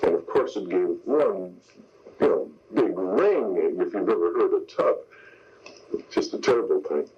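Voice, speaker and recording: On a slightly dull radio tape, an older man reminisces. His voice is deep and husky, and he talks in a halting, plain-spoken way. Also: animated, darkish tone. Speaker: veteran radio sound effects man being interviewed. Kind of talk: casual anecdote in an interview.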